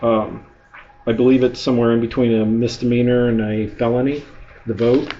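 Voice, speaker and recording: A man's voice: mid-range voice, steady tone, fairly quick, dark tone. A man speaking as a member of the public at a government meeting, pressing officials with persistent, probing questions. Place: indoor public meeting room. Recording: muffled, noisy meeting-room recording.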